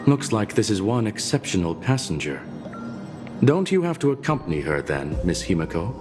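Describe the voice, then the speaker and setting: An actor playing a detached, intellectual non-human scholar in a video game, speaking in a measured, formal way. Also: male voice, on the low side, sing-song, loud music behind the voice. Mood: curious, formal. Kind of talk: scripted video game voice acting.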